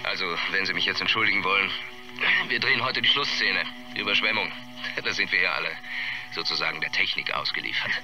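Deep-voiced, blunt film director. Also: male voice, fast talker, over music, low-quality recording. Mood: distracted, hurried. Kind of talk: radio play acting as interviewee.

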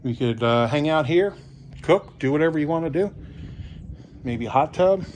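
A man's voice: on the high side, animated, normal speed, darkish tone, slight background noise. A middle-aged man, a real estate agent chatting casually and salesy while he walks through an empty house with a phone camera. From Kentucky. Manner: chatty, folksy, salesy asides with restarts. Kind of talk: casual walkthrough commentary. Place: empty house, indoors with some steps outside.

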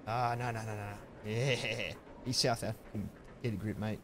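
A man in his thirties with an Australian accent, chatting casually with viewers while streaming a game on a headset mic, relaxed and chatty with sudden loud outbursts. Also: on the low side, normal speed, slight background noise. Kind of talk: casual live-stream chat while gaming.

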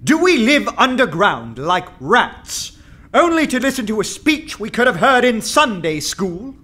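An actor playing a defiant poet in a radio play, rousing anarchists with a scornful speech. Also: male voice, high voice, sing-song, a little slow, bright, forceful tone, full character voice.

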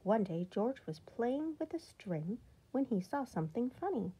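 A woman reading a children's picture book aloud at home, slow and expressive, with some lines dropped to a whisper. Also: a little high, breathy edge, poor audio. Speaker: storybook reader. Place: home, indoors.

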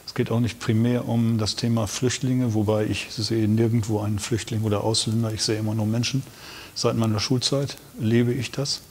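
A man's voice: fairly low pitch, little pitch movement, moderate pace, a little mellow, a little hiss or hum. A man in his fifties, a guest author in a studio interview, talking in a plain, matter-of-fact way.

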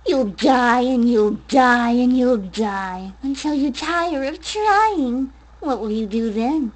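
Female voice actor as evil young game prince, high-set voice, mocking, menacing, drawn-out taunts. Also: expressive. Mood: mocking menace. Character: gleefully sinister, taunting prince of a kill-or-be-killed world.

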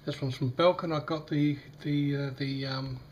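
A man with a slightly croaky voice, demonstrating a device in a casual, hesitant way with many fillers. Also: a little high, fairly even intonation, normal speed, lo-fi sound, a little hiss or hum. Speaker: tech unboxing vlogger. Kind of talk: casual product demo. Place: small indoor room at a table.

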